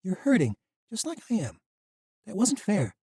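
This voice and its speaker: Guy putting on a high fiery young woman, husky and swinging up and down, dry sound. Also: huge pitch swings, no room sound, exaggerated voice. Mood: grieving. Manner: sharp, pleading, quick to anger. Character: fierce grieving young woman warrior. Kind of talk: audiobook character voice.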